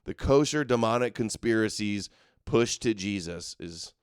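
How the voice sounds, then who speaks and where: A man's voice: on the low side, expressive, measured pace, clear, resonant tone, no room sound, studio quality. A male host giving sarcastic, scoffing commentary over video clips. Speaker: show host.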